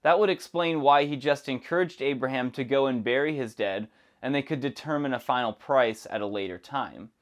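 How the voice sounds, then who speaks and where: A young man presenting a scripted Bible lesson in a measured, even reading voice, recorded on a lapel mic in a dark studio. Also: medium pitch. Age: young adult. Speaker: Bible teacher. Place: dark studio with plants behind.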